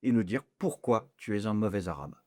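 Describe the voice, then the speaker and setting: A man's voice: lowish voice, lively intonation, fairly quick, warm tone. A man hosting a podcast, asking questions in a teasing, joking way. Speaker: podcast host. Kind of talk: casual interview.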